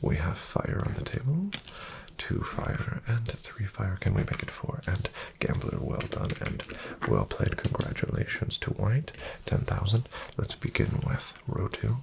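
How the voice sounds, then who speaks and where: A man playing a courteous, composed casino dealer in a soft-spoken ASMR roleplay, with formal phrasing and a breathy near-whisper. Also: on the low side, huge pitch swings, noisy background, exaggerated voice. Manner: formal, polite, measured phrasing.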